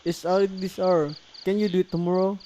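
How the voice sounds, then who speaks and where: A man with an Indonesian accent playing a concerned older local man who gives solemn warnings in halting English for a student radio drama. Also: high-pitched, flat delivery, noisy background, natural voice. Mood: earnest warning. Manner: halting, non-native English phrasing.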